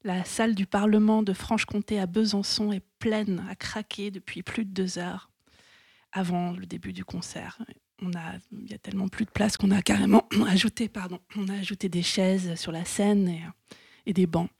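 A woman's voice: mid-range voice, flat delivery, moderate pace, gravelly, a little mellow, breathy edge, dry recording, studio quality. A woman co-hosting a music radio show in French, telling stories casually with frequent fillers and restarts. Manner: chatty, laughs easily, many fillers.

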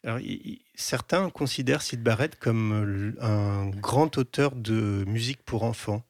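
Gravelly low-voiced man, easygoing radio host. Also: no echo, clean studio sound. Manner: relaxed, hesitant, many pauses and fillers. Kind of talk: casual music radio chat.

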